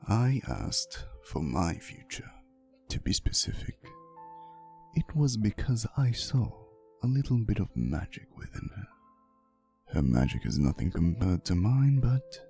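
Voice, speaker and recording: Man playing a slow, low-voiced, tender vampire boyfriend. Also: creaky, exaggerated voice. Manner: soothing, unhurried, gently teasing, with dramatic pauses. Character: ancient vampire boyfriend, tender, wistful, teasingly fond of humans. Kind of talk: ASMR roleplay, intimate storytelling to the listener.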